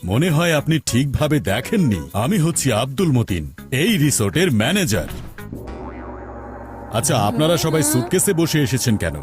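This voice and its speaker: A man's voice: on the high side, sing-song, normal speed, soft background music. A man voicing a courteous but pedantic resort manager in a cartoon dub, speaking formally. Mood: politely pedantic. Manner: formal, pedantic, repeats himself.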